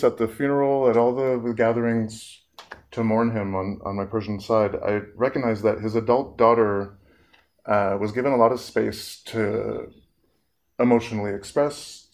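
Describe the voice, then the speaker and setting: A man with a low voice and a gravelly edge gives a reflective talk. He speaks earnestly and at a measured pace, pausing now and then with fillers as he works through a point. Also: a little mellow. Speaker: therapist giving a talk.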